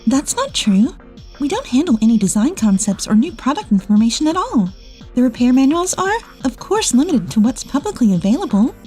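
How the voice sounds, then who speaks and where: A woman's voice: high voice, big pitch swings, brisk, warm tone, breathy edge, soft background music, full character voice. A woman voicing assertive office women in a dubbed comic drama. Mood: composed. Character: bitter, bossy female ex-boss, and calm capable female employee.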